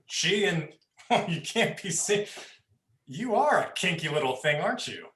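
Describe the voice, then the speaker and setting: Guy acting a smug taunting young dude, highish animated voice, roomy echo. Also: measured pace. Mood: amused, teasing. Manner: teasing, smug. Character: cocky, taunting young man, Wendell. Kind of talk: livestream dramatized script reading.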